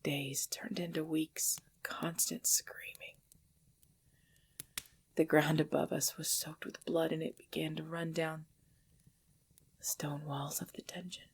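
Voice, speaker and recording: A woman's voice: low-pitched, flat delivery, slight background noise. A woman voicing an ancient, possessive vampire lover in an intimate ASMR roleplay, speaking breathily and formally, often dropping into a whisper. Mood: somber, bitter. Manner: slow, formal, archaic phrasing, hushed.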